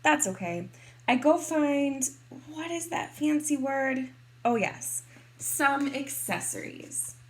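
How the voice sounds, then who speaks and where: A woman reading a picture book aloud expressively to kindergarteners, in a deliberate teacherly way. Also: high voice, expressive, slight background noise. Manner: deliberate, clearly addressed to small children.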